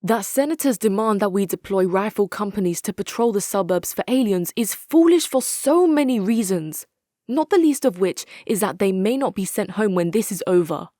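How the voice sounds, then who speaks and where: An actress playing a hard-nosed, articulate female military commander in a strategy game, speaking in a measured, wry, authoritative way. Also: mid-range voice, animated, dark tone, no room sound, clean studio sound, close to an everyday voice. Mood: dry, resigned. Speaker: video game faction leader. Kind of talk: dramatic scripted game quotes.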